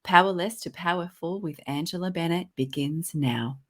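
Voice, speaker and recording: A middle-aged woman with a slightly low voice hosts an interview show. She is upbeat and effusive, reading a polished introduction before chatting warmly with her guest. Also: moderate pace. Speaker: podcast host. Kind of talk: host interview, scripted intro.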